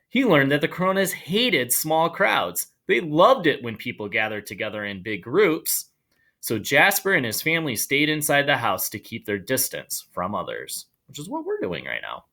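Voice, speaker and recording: A man reading a children's story aloud in an animated storytelling style. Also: medium pitch, moderate pace, creaky. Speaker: storybook reader.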